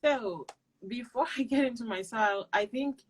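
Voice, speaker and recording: A woman's voice: a little high, normal speed, slightly rough, poor audio. Young woman, from Cameroon, English not her first language, earnest, direct, interview guest. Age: young adult. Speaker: interview guest, PhD student. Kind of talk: casual interview, giving advice.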